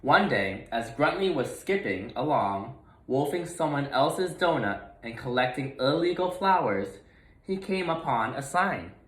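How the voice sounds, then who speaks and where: Slow-paced man reading a storybook aloud. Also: mid-range voice, big pitch swings, echoey room, poor audio. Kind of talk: expressive children's picture book narration.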